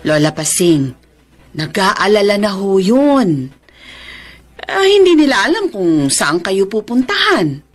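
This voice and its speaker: An actress playing a kind, patient senior center worker in a Filipino radio drama, gently coaxing and polite. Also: female voice, mid-range voice, sing-song, slow, pauses often. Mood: patient, concerned.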